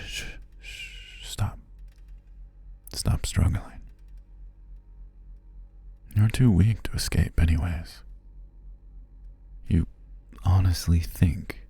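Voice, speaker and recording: A man playing a cruel, possessive stalker captor in an intimate ASMR roleplay, speaking in a breathy, condescending, taunting murmur that often drops near a whisper. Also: low voice, extremely slow, long pauses, warm tone, no echo, full character voice. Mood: menacing, exasperated.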